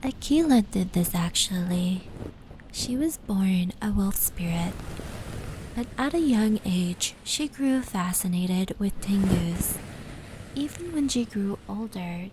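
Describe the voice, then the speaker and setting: A woman playing a gentle, knowing fox spirit in an ASMR roleplay, telling stories in a soft, breathy, soothing voice. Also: medium pitch, close to an everyday voice. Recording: steady rain and brushing noise layered in. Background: indoor rain patter and brushing sounds.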